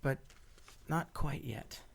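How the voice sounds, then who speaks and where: A lovestruck doctor in a radio romance. He is earnest and tender, pressing his case with pleading persistence, in a mid-pitched voice. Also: slow pace, gravelly, slightly rough, in a whisper, heavily acted. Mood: tender, sorrowful. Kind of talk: radio play acting.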